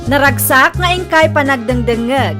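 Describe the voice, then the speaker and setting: A woman's voice: high-pitched, moderate pace, over music. A woman with an Ilocano Filipino accent, hosting and introducing a radio drama programme. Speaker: channel host.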